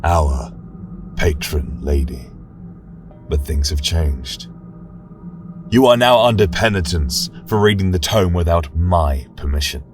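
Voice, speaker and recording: A man's voice: deep voice, animated, slow pace, pauses often, over music. A man voicing an imperious paladin of a fantasy church, giving cold, formal commands in an audio drama. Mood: stern, commanding. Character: stern, imperious male holy paladin silencing heretics.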